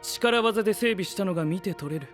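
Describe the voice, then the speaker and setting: An actor playing a cool, aloof knight with a dry, curt, haughty manner in an anime-style audio drama. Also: male voice, sits high, brisk, faint music behind. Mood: cool, detached.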